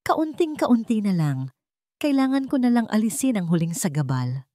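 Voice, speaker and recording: A woman voicing a cold, vengeful young wife with a determined, deliberate manner in a melodramatic story. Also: mid-range voice, sing-song, mellow, no room sound, studio quality, voice pushed up, natural voice. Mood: quietly scheming.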